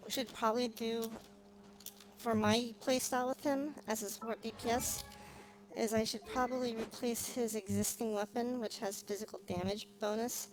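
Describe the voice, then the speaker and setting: A woman's voice: a little high, a little hiss or hum, low-quality recording. A woman streaming a game with casual commentary, thinking aloud in a rambling, hesitant way. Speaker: streamer, vtuber host. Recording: game music playing behind the voice. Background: video game music.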